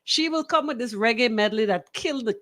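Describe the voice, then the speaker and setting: A woman's voice: a little high, a little fast, fairly bright tone, dry recording. Female radio talk host, Bahamian accent. Emphatic, opinionated delivery in a dry studio. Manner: emphatic, repeats phrases for emphasis.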